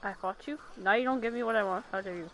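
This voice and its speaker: A woman's voice: medium pitch, quick pace. A young woman giving casual commentary while playing a video game. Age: teenager. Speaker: gamer streaming a playthrough. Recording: loud noise and game sound behind.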